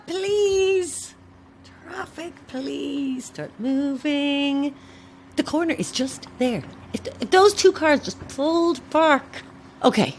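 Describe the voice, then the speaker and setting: A woman's voice: sits high, slight background noise, exaggerated voice. A woman playing a flustered, self-dramatizing struggling actress who rambles theatrically to herself in an audio drama. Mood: desperate. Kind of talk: modern audio drama acting.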